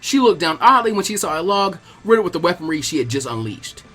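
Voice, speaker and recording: Lively high-pitched man narrating a story. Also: fast. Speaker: fan fiction reader. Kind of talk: animated scripted narration with character voices. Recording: music behind the reading.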